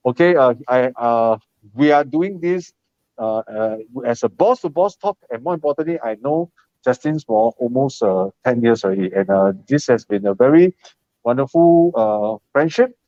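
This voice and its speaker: A man's voice: medium pitch, expressive, moderate pace, mellow, no room sound. A man with a Singaporean English accent hosting a casual live stream, chatty and encouraging, with many fillers. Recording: muffled lo-fi live stream, dry.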